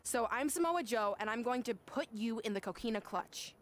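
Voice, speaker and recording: A woman's voice: on the high side, lively intonation, moderate pace, lightly acted. A woman voicing a bossy, sarcastic young wrestler turned coach in a video game cutscene, curt and condescending. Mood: brisk, stern.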